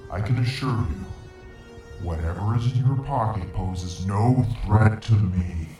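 An actor playing a brooding, menacing monster from another dimension in an audio drama, speaking formally and ponderously. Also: male voice, fairly low pitch, measured pace, warm tone, natural voice. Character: brooding, menacing interdimensional monster with vast senses. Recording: echoing voice under music.

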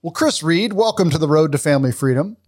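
A man's voice: a little high, animated, fast talker, a little mellow, husky, soft background music. A man who hosts a podcast, reading a scripted intro and then chatting with a guest in a friendly, upbeat way. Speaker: podcast host. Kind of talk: conversational podcast interview.

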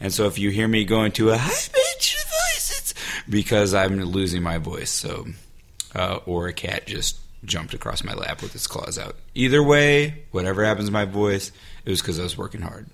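A man in his thirties co-hosting a casual podcast, slightly hoarse from losing his voice, joking and laughing often. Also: on the low side, wildly swooping pitch, moderate pace, a bit bright, slight background noise. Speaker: podcast co-host.

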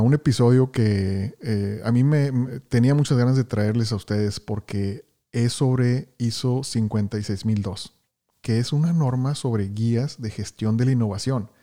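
A man's voice: medium pitch, warm tone. A man hosting a podcast interview, greeting listeners with energy and often repeating words as he talks. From Houston, Texas, United States. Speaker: podcast host.

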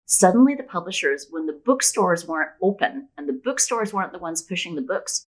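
A woman's voice: fairly low pitch, lively intonation, fairly quick, low-quality recording. A woman speaking as an author guest in a conversational interview, explaining her opinions emphatically with examples. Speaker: podcast guest, women's fiction author.

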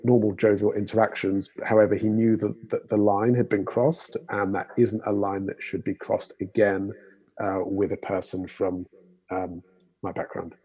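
A man with an English accent, a doctor speaking in a panel discussion in a measured way, with many fillers. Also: fairly low pitch, mellow, husky, poor audio.

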